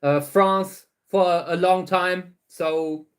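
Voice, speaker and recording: A man hosts a relaxed livestream and chats with his viewers. His voice sits fairly high for a man. He rambles along at an easy pace, often restarting words. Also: drawn-out words. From Germany. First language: German. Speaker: host of a livestream channel. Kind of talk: casual livestream chat, answering viewers.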